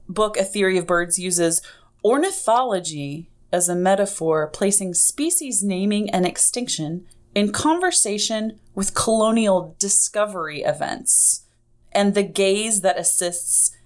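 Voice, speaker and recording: A woman hosting a podcast, chatting casually and teasing her guest with quick asides. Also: mid-range voice, expressive. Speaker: podcast host. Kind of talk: casual radio interview.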